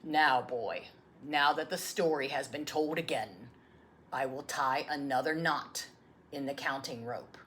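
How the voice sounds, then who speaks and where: A woman voicing a gentle, loving old grandfather in a solemn, tender storybook read-aloud. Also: very deep voice, unhurried, a little hiss or hum, voice pushed down.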